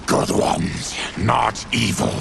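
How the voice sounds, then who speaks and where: An actor playing a solemn, wary mutant outcast warrior in a video game, with a gruff, gravelly voice. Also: male voice, on the low side, slow, very noisy, exaggerated voice. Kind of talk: cinematic video game acting.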